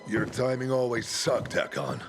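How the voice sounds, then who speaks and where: An actor playing a gruff, commanding older veteran leader in a video game cutscene, blunt and gravelly. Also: male voice, medium pitch, big pitch swings, normal speed, soft background music.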